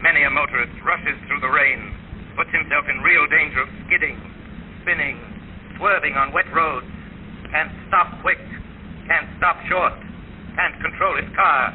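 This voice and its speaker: A man's voice: on the high side, fairly even intonation, moderate pace, slight background noise. A man delivering an ominous, declamatory 1930s radio commercial. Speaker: advertisement voice. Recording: tinny 1930s radio recording.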